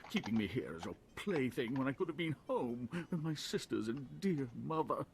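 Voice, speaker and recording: A man's voice: medium pitch, big pitch swings, normal speed, noisy background. An actor playing a whiny, pampered nobleman in a video game, prim, plaintive and easily flustered. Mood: hurt, indignant.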